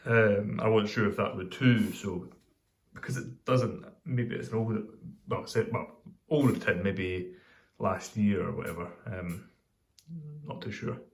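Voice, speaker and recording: A Scottish man chatting casually in a video review, rambling and hesitant with many pauses and ums. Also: fairly low pitch, slight background noise. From Scotland. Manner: pauses while lighting a pipe. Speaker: pipe tobacco reviewer.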